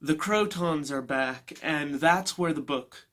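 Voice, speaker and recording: A man giving a casual, unscripted book review in an informal, opinionated way, halting as he gathers his thoughts. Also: fairly high pitch, a bit bright.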